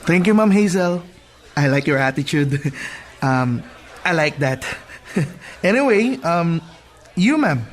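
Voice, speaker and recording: A man's voice: a little high, lively intonation, slow, pauses often. A man playing a polite, amiable young new office staffer in a radio drama, courteous and easygoing. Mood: polite, amused.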